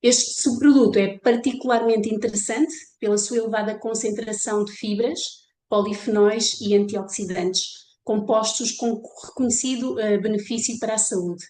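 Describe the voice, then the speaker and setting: A woman professor with a European Portuguese accent gives a formal, measured academic presentation. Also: medium pitch, darkish tone, roomy sound. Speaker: professor and researcher. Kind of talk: online conference presentation.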